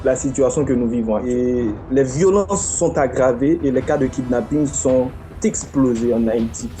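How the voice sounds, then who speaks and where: A man, a journalist, speaking in a halting way, repeating words, in an interview clip. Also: mid-range voice, mellow, soft background music. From Haiti.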